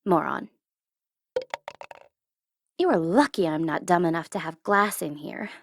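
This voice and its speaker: A woman playing a teasing tsundere supervillain in an ASMR audio roleplay, condescending but easily flustered, sometimes slipping into soft whispers. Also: on the low side, lively intonation, unhurried, a little hiss or hum. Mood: exasperated, scolding. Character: teasing, tsundere female supervillain flustered by her captive hero.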